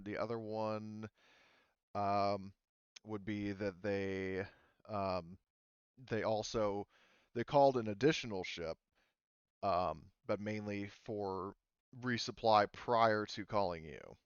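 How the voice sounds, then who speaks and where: Deep-voiced man narrating, dull close recording. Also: clean tone, dry recording. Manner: halting, hesitant, casual delivery. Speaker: game master. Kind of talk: improvised narration of a tabletop game.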